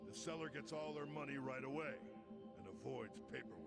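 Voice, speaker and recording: Man acting a gravelly, curt warehouse tough. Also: medium pitch, fairly quick, over loud music, natural voice. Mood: businesslike. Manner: curt, matter-of-fact, menacing. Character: stern, threatening warehouse boss in shady trade. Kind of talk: video game voice acting.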